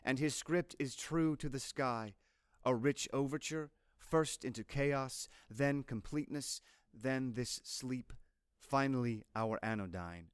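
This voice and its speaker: A man reading scripted poetry aloud in a measured, deliberate, literary manner. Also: medium pitch, clear, resonant tone, no room sound, clean studio sound. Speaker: poetry reader.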